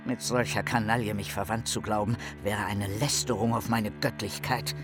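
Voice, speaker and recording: An actor playing a lonely, tormented, bitter philosopher in a radio drama, with theatrical, declamatory delivery. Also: male voice, flat delivery, normal speed, lightly acted. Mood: haughty contempt. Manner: theatrical, declamatory, swinging from muttering to shrill outbursts. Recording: music behind the voice.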